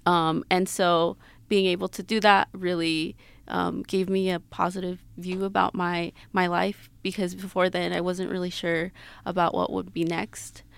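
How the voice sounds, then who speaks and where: A woman in her twenties with an American accent speaks as an interview guest. Her voice sits low and stays quite flat, with a gravelly fry at the edges. She explains things reflectively, in a personal way. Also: a little slow, dry recording. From Mexico-born, grew up in Utah, United States. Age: 20s.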